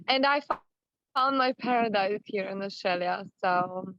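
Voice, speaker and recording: A woman from Serbia with a Serbian accent, a native Serbian speaker, talking casually and haltingly as an interview guest. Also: mid-range voice, no echo. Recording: slightly dull, lo-fi sound.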